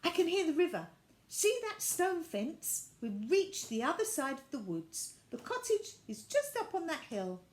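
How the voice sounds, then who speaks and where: Woman playing a brisk, cheerful storybook lady, high-pitched. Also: big pitch swings, reverberant. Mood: reassuring. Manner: encouraging, unflappable, exclaiming. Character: brisk, cheerful, practical woman looking after a girl. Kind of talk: children's storybook character voice.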